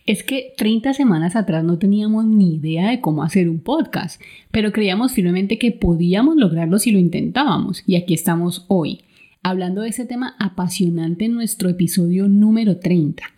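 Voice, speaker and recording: A woman's voice: mid-range voice, moderate pace, mellow. A woman with a Colombian Spanish accent hosting a travel podcast in a partly scripted, conversational way. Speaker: podcast host.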